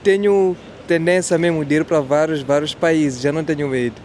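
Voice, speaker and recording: A man with a Mozambican Portuguese accent answering street interview questions briefly and calmly, repeating himself. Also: on the high side, brisk, slight background noise. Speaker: interviewee passerby. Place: city street outdoors.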